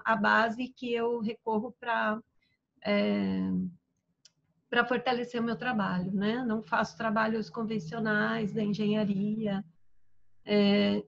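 A Brazilian woman in her fifties with a somewhat high voice introduces herself on a web seminar, drifting along with frequent fillers. Her audio comes through a dull, lo-fi video call. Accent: Brazilian Portuguese. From São Paulo, Brazil. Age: 50s. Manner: rambling, with many "é" and "né" fillers. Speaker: permaculture teacher and environmental engineer.

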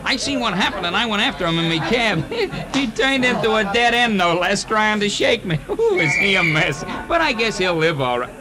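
An American actor playing a chatty, good-natured working-class cab driver, colloquial, in 1940s radio style. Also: male voice, high voice, very animated, normal speed, hardly any pauses. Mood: chatty, excited. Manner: colloquial, talkative. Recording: old radio broadcast.

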